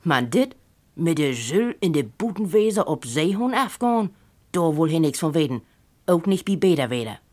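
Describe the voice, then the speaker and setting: Female storyteller, Low German accent, lowish pitch, very lively intonation for humorous prose. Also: moderate pace. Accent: northern German, Low German. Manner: animated, acts out characters' speech. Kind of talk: expressive literary reading of a humorous story.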